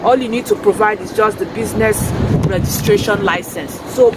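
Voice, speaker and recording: A woman vlogging outdoors on a city street, hoarse from a sore throat, explaining things earnestly with fillers and restarts. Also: medium pitch, normal speed. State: hoarse, losing her voice. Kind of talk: casual informational vlog. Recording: noisy street recording, distant from mic. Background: traffic and urban ambience.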